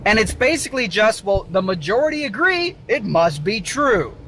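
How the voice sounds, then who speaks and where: A man with a high, bright voice lectures to camera in an argumentative monologue. His delivery is lively and emphatic, circling back on his points. Faint vehicle noise sits behind him inside the car. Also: moderate pace, rough recording. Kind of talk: argumentative solo video monologue.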